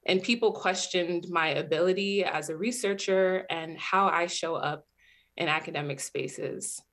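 A young American woman from New Jersey giving a measured, reflective personal talk as a PhD student, recorded over a webcam. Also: on the low side, a bit bright. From New Jersey, USA. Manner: earnest, measured, reflective storytelling. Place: indoor room at home.

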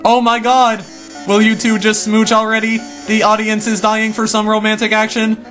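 A man voicing a flamboyant, theatrical robot entertainer who gushes loudly. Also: sits high, monotone, moderate pace, faint music behind, voice pushed up. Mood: gushing. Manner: theatrical, gushing.

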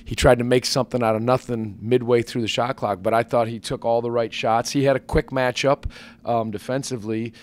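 A man in his forties, a basketball head coach answering questions at a postgame press conference into a table microphone, measured and earnest with many fillers and restarts. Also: medium pitch, steady tone. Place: press conference room with sponsor backdrop.

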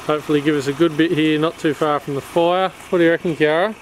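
Laid-back Australian dad filming a vlog. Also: male voice, fairly high pitch, fairly even intonation, fast. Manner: laid-back, joking banter with his kids. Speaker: dad filming a camping trip. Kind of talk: casual vlog narration. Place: in a car, then outdoors at a bush campsite. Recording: noisy outdoor camera audio.

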